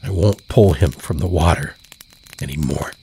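A man narrating scripted horror fiction in a measured, deliberate way. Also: extremely low pitch, gravelly, dark tone, a little hiss or hum. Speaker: storyteller, narrator.

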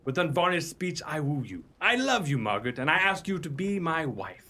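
Young monarch for radio comedy: lightish high voice, lively inflection, courtly, ardent, formally declamatory. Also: male voice, normal speed, very noisy. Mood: ardent wooing. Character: noble young king revealed from disguise, courtly and ardent. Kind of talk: theatrical radio pirate comedy acting.

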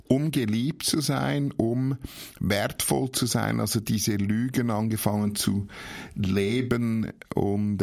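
Male interview guest, Swiss German accent, heavy creak and husky tone, halting delivery. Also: on the low side, darkish tone. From Switzerland. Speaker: interview guest, theologian and author. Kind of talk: personal storytelling in an interview.

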